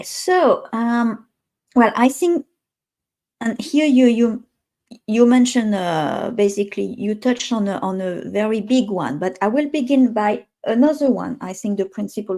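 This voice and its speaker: A French woman speaks as an interview guest in English, her second language. Her voice is middle-pitched and her accent is clear. She talks thoughtfully and pauses often to find the right word. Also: dark tone. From France. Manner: thoughtful, pauses to search for words. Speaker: guest, communication specialist. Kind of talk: interview conversation.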